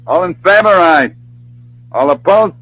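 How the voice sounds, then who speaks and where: Highish-voiced, menacing gangster boss. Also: male voice, expressive, a little slow, a bit bright, dry recording, heavily acted, low-quality recording. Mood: commanding, mocking. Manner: slangy, domineering, mocking. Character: menacing, sardonic gangster boss who bullies his partner. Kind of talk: old-time radio drama acting. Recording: old radio broadcast recording.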